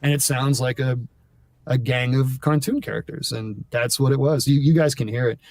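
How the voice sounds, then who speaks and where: A man's voice: mid-range voice, steady tone, normal speed, a little mellow, no echo, recorded from a distance. A man chatting casually as an interview guest, rambling and breaking off mid-sentence as he explains things.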